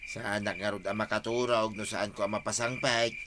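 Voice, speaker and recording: A man's voice: lowish voice, flat delivery, moderate pace, bright, forceful tone, a little hiss or hum. A man with an Ilocano accent playing an earnest, anxious husband in a radio drama. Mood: worried.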